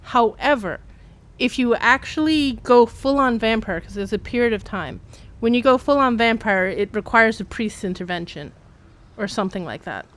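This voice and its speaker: A woman's voice: fairly high pitch, animated, normal speed. A woman giving casual live game commentary, rambling and playfully self-deprecating as she chats to viewers and game characters. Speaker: game livestreamer.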